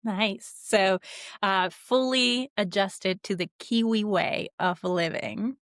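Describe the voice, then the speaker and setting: A woman with a mid-range voice hosts a podcast interview. She moves from a read introduction to relaxed questions. The recording is close and dry. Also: mid-range voice, slow pace, no echo. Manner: reads a warm scripted intro, then asks questions with some fillers. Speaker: podcast host.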